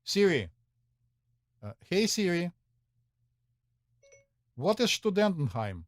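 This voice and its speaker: A man's voice: high-pitched, very animated, slow, dry recording. A man with a Russian accent hosting a casual chat, rambling and hesitant with many fillers. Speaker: podcast host.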